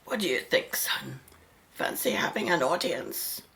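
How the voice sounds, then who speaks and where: A woman's voice: low voice, big pitch swings, a little slow, vocal fry, pushed and bright, heavily acted. A woman acting in a radio drama as an anxious, devoted mother, speaking with tender, emotional care. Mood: gentle.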